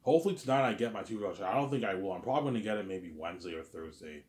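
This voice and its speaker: A man's voice: lowish voice, animated, rapid-fire, a little mellow, roomy sound. A man in his thirties rambling casually in a monologue to his viewers, repeating himself and stumbling over words. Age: 30s. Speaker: vlogger.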